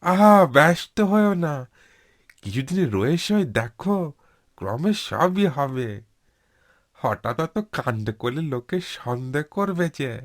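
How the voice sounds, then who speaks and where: A man's voice: fairly high pitch, big pitch swings, slow pace, voice pushed up. A man voicing a sly, cautious old peasant husband in a cartoon story, drawling with feigned innocence. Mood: cautioning.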